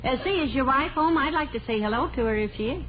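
An actress playing a sensible, folksy wife who chides with dry humor, in a 1940s radio comedy. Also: female voice, sits high, animated, quick pace. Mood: friendly. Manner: folksy, gently wry. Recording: muffled old radio, hiss, studio audience.

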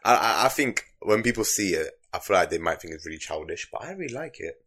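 A young man with a deep voice co-hosting a podcast in casual chat, laid-back and laughing often. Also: sing-song, fast, bright, forceful tone, breathy edge. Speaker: podcast co-host.

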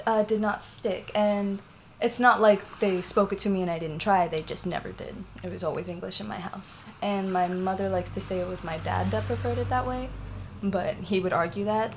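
A woman's voice: mid-range voice, steady tone, moderate pace, a little mellow, a little hiss or hum, rough recording. A young woman from Florida with a Puerto Rican family, telling a personal story in a candid, rambling way, full of fillers and laughs. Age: young adult. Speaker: interviewee.

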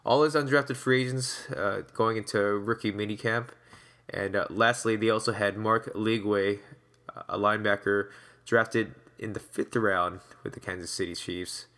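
A man's voice: fairly low pitch, steady tone, a little slow, fairly bright tone. A man hosting a sports podcast, talking in a casual, upbeat, conversational way. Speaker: podcast host and interviewer.

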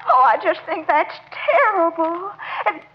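Very high, fluttery Southern belle. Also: female voice, expressive, measured pace, exaggerated voice. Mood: dramatic dismay. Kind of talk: 1940s radio sitcom acting. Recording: muffled 1940s radio recording.

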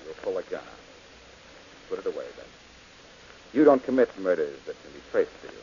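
A cocky detective who stays calm and needles his enemy with dry mockery, on a 1940s radio show. His voice sits low, but the delivery swings widely in pitch. The old broadcast sounds dull, with a faint hiss underneath. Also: normal speed, gravelly, exaggerated voice. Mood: taunting. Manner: cool, mocking and self-assured. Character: cocky, cool-headed male detective. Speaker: detective hero.

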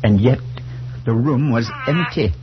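An actor playing a grave, troubled priest who narrates in a measured, solemn 1940s radio suspense style. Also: male voice, medium pitch, animated, crowd noise, close to an everyday voice. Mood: hushed dread. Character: grave, troubled male priest, shaken but reasoning. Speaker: narrator and character. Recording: dull 1940s radio recording with music.

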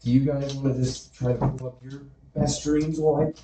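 A man's voice: medium pitch, flat delivery, a little fast, a crowd in the background. A man on a podcast asking curious questions in a casual chat. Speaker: podcast co-host. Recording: distant, echoey room.

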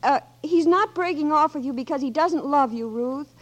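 An actress playing a gentle, earnest Red Cross worker, speaking with some hesitation, in 1940s radio acting. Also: female voice, high voice, normal speed, natural voice.

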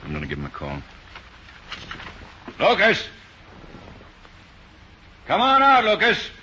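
An American actor playing a terse, authoritative police sergeant in a 1950s radio drama. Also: male voice, sits high, huge pitch swings, takes it slowly, pushed and bright, husky, heavily acted. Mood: urgent, shouting. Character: commanding male police sergeant leading a night manhunt. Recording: dull, noisy 1950s radio recording.

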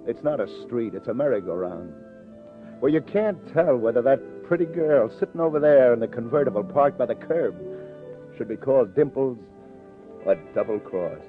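An actor playing a middle-aged, wry and world-weary New police detective in a 1950s hard-boiled radio drama. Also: male voice, medium pitch, expressive, moderate pace, natural voice. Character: seasoned, wry New York police detective, former boxer. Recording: muffled old radio broadcast, music behind.

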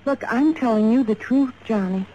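A woman's voice: on the high side, normal speed, natural voice. An American woman playing an anxious young fashion model under suspicion, earnest and defensive in 1950s radio drama style. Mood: defensive, pleading. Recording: dull, faintly noisy 1950s radio recording.

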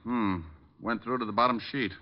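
An actor playing a suave, quick-witted private detective in a radio drama, wry, unhurried and self-assured. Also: male voice, mid-range voice, fairly even intonation, natural voice. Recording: dull vintage radio recording.

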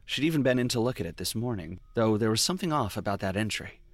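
A man's voice: on the low side, animated, fast, no echo. A man playing a brooding police detective, narrating a noir audio drama in a wry, confessional way. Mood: brooding, regretful. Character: brooding male police detective recalling his past mistakes. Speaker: lead character and narrator.

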